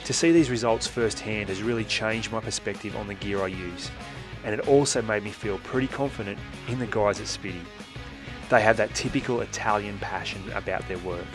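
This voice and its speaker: Aussie guy doing a steady voice-over, kinda low voice, music under it. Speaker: motorcycle magazine presenter. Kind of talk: scripted voice-over narration.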